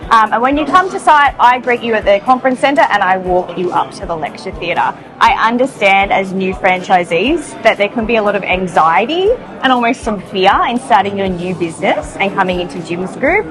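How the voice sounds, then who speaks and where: Upbeat woman, training manager, bubbly and loud, music bed. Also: medium pitch. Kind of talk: interview answers. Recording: music bed under the talk.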